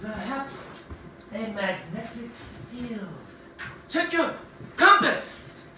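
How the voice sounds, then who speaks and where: Woman playing a high-pitched, obsessed professor in echoey theatre. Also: expressive, very slow, pushed and bright, exaggerated voice. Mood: intent, excited. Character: obsessed, secretive professor hunting a buried ancient machine. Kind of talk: theatrical stage acting of a radio play. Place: theatre stage, picked up from afar. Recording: roomy, distant stage recording with faint noise.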